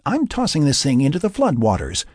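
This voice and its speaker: A man's voice: fairly high pitch, huge pitch swings, a little fast, husky, no echo, clean studio sound, pitched above the performer's own voice, full character voice. A man voicing a stubborn, defiant and scolding woman settler in an audiobook. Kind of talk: audiobook character dialogue.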